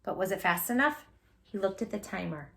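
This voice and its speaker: A woman hosting a children's read-aloud, expressive and encouraging, emphasising words and chatting directly to young listeners. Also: medium pitch, brisk, creaky, slight background noise. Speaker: storybook reader, channel host.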